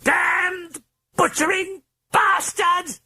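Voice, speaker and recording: A man voicing a foul-mouthed, manic spirit with a hoarse British accent, shrieking and theatrical. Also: extremely high pitch, little pitch movement, very slow, pushed and bright, no echo, pitched above the performer's own voice, full character voice. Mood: shrieking rage.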